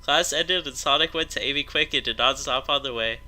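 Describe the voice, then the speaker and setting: A man's voice: medium pitch, a little fast, noisy background. A man narrating a comedic story aloud to friends, prone to breaking into laughter as he reads.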